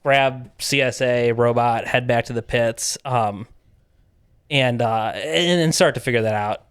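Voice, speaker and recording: A man's voice: medium pitch, a little slow, clear, resonant tone, dry recording, studio quality. A man guesting on a podcast, telling event stories in a casual chat and acting out the back-and-forth as he goes. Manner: lively anecdotes, acting out quoted exchanges with laughs.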